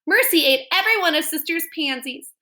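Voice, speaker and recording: A timid old sister, as a woman puts her on in a storybook. She pleads anxiously in a high, bright voice. Every line sounds worried. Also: lively intonation, a little fast.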